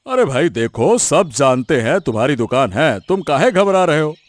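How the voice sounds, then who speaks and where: A man's voice: on the high side, very animated, moderate pace, no echo. A man playing a blustery, fair-minded village big man in a cartoon story, emphatic and given to repeating himself. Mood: reassuring. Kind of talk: scripted cartoon story acting. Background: music.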